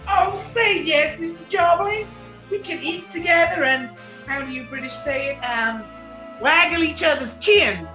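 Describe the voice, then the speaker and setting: A woman's voice: high voice, a little slow, echoey room, dull audio, music in the background. A woman playing a gushing, theatrical American nightclub singer and gangster's fiancée in a 1940s radio comedy. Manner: gushing, cooing, melodramatic.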